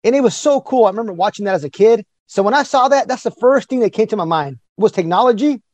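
Chatty forties male host, upbeat and quick, dry close sound. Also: high voice, expressive, a little mellow, dry recording. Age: 40s. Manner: enthusiastic, chatty, quick-talking. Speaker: podcast host. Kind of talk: casual interview chat.

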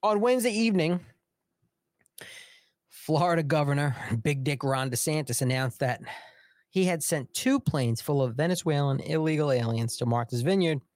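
A man's voice: fairly high pitch, moderate pace, darkish tone, dry recording, studio quality. A man from Long Island with a New York accent, giving opinionated commentary as a host in a sarcastic, theatrical, mocking way. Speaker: host of a political commentary show. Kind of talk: opinionated news commentary, partly reading aloud.

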